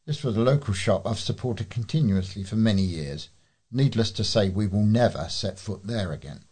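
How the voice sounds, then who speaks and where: Husky, low British man reading aloud. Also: animated, moderate pace, mellow. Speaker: volunteer newspaper reader. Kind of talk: reading readers' letters aloud.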